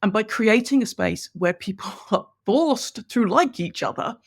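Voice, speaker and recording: A woman's voice: mid-range voice, expressive, a little slow, vocal fry. A woman from Ireland with an English accent, chatting enthusiastically as a podcast guest and laughing as she explains. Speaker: guest, business coach. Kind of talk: conversational podcast interview.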